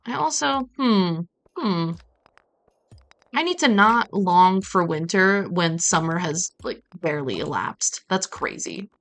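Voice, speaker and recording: A woman from Brazil chatting casually as she streams a game, telling stories in an animated way with playful asides. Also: on the low side, slow pace, slight background noise. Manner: animated storytelling with acted-out quotes and playful asides. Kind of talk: casual chat while playing a game on a livestream.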